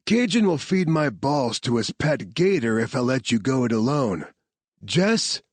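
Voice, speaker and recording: A man's voice: medium pitch, very animated, measured pace, dry recording, pitched above the performer's own voice. A man voicing a tough male rescue team leader who gives blunt orders and short reassurances. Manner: blunt, commanding.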